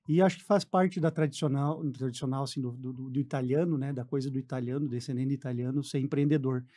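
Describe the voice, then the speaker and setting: A man's voice: medium pitch, fairly even intonation, fast, warm tone. A man in his forties with a southern Brazilian accent, telling his story casually as a guest on a radio interview. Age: 40s. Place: radio studio.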